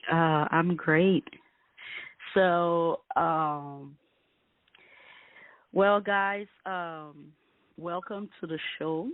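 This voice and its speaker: A woman from Liberia hosting a casual interview, chatty and opinionated, with lively emphasis. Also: on the low side, drawn-out words, pauses often, no echo. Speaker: interview host. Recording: dry, phone-like call audio.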